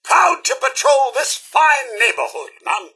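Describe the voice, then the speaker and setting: Robot butler: British, prissy, loyal and formal game companion, high-pitched, unhurried delivery. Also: male voice, big pitch swings, bright, forceful tone, exaggerated voice. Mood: polite, eager. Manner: theatrical, formal, polite, prissy. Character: prim, fussy, loyal male robot butler. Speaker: voice actor. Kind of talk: video game companion voice lines.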